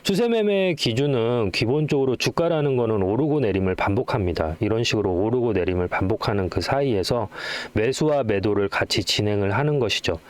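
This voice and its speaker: A man's voice: medium pitch, no room sound. A middle-aged man hosting a stock trading channel, explaining charts informally and often putting rhetorical questions to his viewers.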